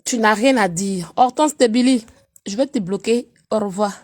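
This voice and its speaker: A woman, chatting on a casual live stream while she has a cold, swinging between loud teasing and low murmurs. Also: normal speed.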